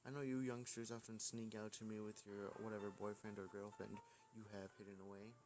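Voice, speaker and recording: A man's voice: lowish voice, flat delivery, brisk, a little mellow, close to an everyday voice. A man voicing a stern older female schoolteacher in a visual novel, lecturing in a formal, scolding, matter-of-fact way. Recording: music behind the voice.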